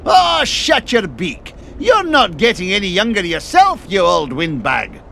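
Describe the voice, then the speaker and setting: An actor playing a greedy, gloating old cartoon duck tycoon, blustering and sneering. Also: male voice, sits high, wildly swooping pitch, a little slow, creaky, sharp tone, a little hiss or hum, heavily acted. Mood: taunting.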